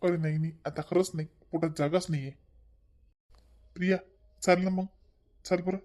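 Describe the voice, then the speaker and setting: A man's voice: sits high, level tone, normal speed, darkish tone, lightly acted. A man voicing an outspoken, modest young son-in-law in a cartoon family comedy, protesting and repeating himself with a Varhadi Marathi accent. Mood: polite refusal. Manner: repetitive, opinionated, protesting. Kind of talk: amateur animated family comedy acting.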